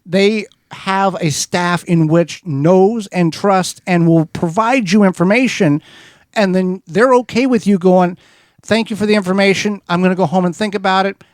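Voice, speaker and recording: A man with a high-pitched voice hosts a gardening show, explaining things in a folksy, rambling way full of restarts. The studio sound is dry and close. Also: moderate pace, no echo. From Wisconsin. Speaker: radio show host. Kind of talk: casual gardening advice chat.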